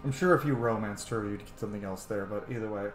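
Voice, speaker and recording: A man's voice: lowish voice, fast talker. A man giving informal video game playthrough commentary, musing aloud as he plays. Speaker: gaming YouTuber. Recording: roomy echo, game music behind. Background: video game music.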